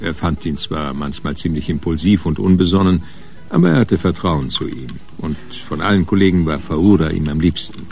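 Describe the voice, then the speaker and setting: A man with a deep voice narrates a crime story for radio. His delivery is scripted and literary, with faint music behind. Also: normal speed, gravelly, darkish tone.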